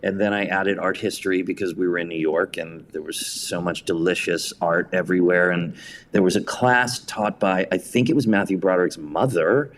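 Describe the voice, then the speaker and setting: An American man with a low voice speaks as an interview guest. He is reflective and conversational, telling anecdotes and leaning on key words for emphasis. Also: fairly even intonation, normal speed, a little hiss or hum. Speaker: guest, theatre writer and director.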